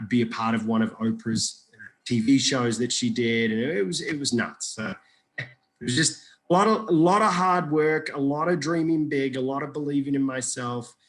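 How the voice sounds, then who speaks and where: A man's voice: medium pitch, a bit bright, poor audio. A man from Iraq, a guest telling his story in a casual interview, rambling and joking with many restarts.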